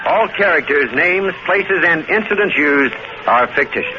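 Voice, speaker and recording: A male announcer reading a formal, measured disclaimer in a 1940s broadcast. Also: a little high, lively intonation, dull audio. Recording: hissy 1940s radio broadcast.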